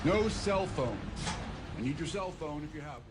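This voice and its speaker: A man's voice: a little high, lively intonation, normal speed, lightly acted. A man playing a creepy friendly bus driver. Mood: coaxing. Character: eerily friendly male backup bus driver. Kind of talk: full cast audiobook drama. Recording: loud engine and road noise.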